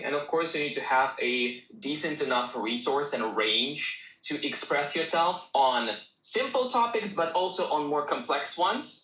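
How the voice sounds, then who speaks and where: A man whose first language is Russian, teaching English in a live-stream Q&A. He talks straight to his viewers in a relaxed, encouraging way, with a bright, forward tone. The room around him sounds echoey. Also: a little high, highs cut off.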